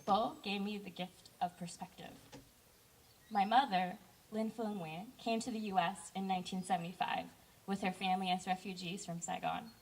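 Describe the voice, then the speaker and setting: A young woman giving a heartfelt personal speech to campers, earnest and warm in tone. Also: mid-range voice, steady tone, normal speed, slightly rough, far from the mic, poor audio. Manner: heartfelt, earnest, with brief self-corrections. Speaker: camp director giving a speech.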